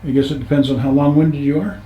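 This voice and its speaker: A man's voice: fairly high pitch, steady tone, quick pace, muffled recording. A man hosting an informal interview, with a folksy, easygoing way of phrasing questions. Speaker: interviewer, show host. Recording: echoey room.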